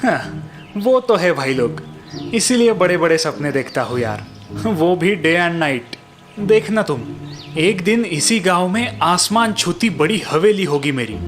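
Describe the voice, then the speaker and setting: Guy doing a spoiled lazy young son in a cartoon, whiny n cocky, pitch jumps all over. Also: very animated, unhurried, faint music behind. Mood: boastful. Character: spoiled, boastful young village son, lazy big dreamer. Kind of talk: animated Hindi moral story dialogue.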